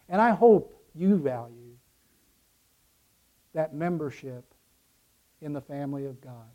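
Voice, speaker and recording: A male pastor delivers a sermon in a slightly high, mellow voice. He takes his time, setting out short emphatic phrases and letting their endings drop away softly. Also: dull audio. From Ecuador, raised by American missionary parents. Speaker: pastor preaching to his congregation.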